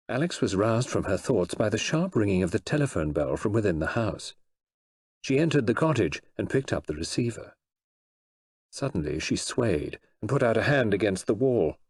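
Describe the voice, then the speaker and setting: A man reading fiction aloud as an expressive audiobook narrator. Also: fairly low pitch, slightly rough, dry recording, clean studio sound. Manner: expressive storytelling, voices the characters.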